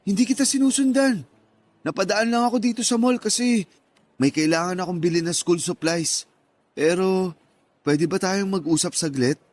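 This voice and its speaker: A man's voice: high-pitched, normal speed, long pauses, darkish tone, close to an everyday voice. A man playing an earnest, lovelorn young man in a Tagalog radio drama, pleading emotionally.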